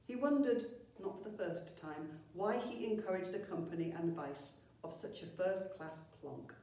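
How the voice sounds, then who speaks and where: A woman with a fairly low voice reads a children's story with expression. She sounds like a school storyteller, recorded some way from the microphone in a very echoey room. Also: normal speed, dark tone. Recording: very echoey room, far from mic.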